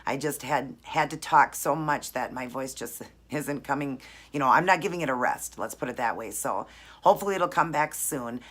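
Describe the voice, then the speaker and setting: A hoarse woman recovering from laryngitis, chatting through a nail art tutorial. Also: extremely low pitch, moderate pace, a bit bright. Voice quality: raspy. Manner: friendly, chatty, explains each step. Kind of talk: casual tutorial chat.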